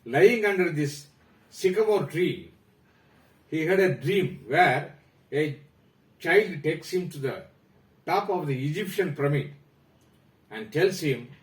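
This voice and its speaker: A man's voice: fairly high pitch, lively intonation, slow pace, pauses often, roomy sound, distant mic, rough recording. Indian man reading a literary talk. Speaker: book talk presenter.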